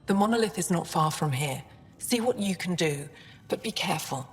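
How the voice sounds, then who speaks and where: Game casting: guarded female alien lead scientist, lower register, practical skeptic, long reverb with faint music. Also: expressive, normal speed. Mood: grave, cautious. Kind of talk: video game dramatic acting.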